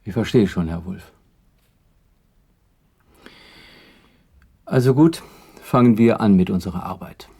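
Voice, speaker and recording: A psychotherapist in a radio drama, probing and detached. The voice is low and dark, with a marked creak. Questions come measured and clinical, pressing the patient further. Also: male voice. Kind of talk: 1980s German radio play acting.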